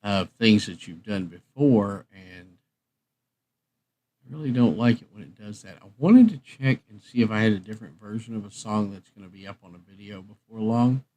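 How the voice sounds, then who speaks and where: A middle-aged man hosting a livestream, chatting casually and thinking aloud in an unhurried, rambling way. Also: fairly low pitch, darkish tone. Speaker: livestream host.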